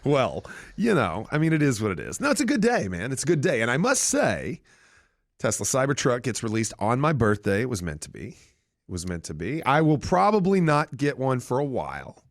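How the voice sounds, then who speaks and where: A middle-aged man hosting a radio talk show in a studio, chatting casually with animated storytelling. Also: normal speed, no room sound. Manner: animated, lively storytelling with big pitch swings. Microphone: studio microphone.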